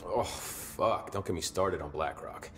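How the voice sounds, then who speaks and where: Low-voiced, wry male security officer. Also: unhurried. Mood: irritated. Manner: casual, sardonic, blunt. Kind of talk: video game dialogue acting. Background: music.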